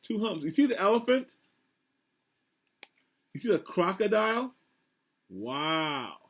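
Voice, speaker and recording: Man, high voice with big swings in pitch, teacherly children's read-aloud, simple and questioning. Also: very animated. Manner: simple, instructive, pausing to ask questions. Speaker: school principal.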